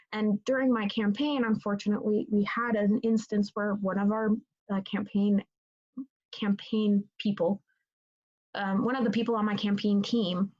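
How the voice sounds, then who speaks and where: Young woman, native Italian speaker, student-leader interviewee; mid pitch, rambling, lo-fi sound. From Italy. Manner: rambling, many fillers and restarts. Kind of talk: casual interview answer.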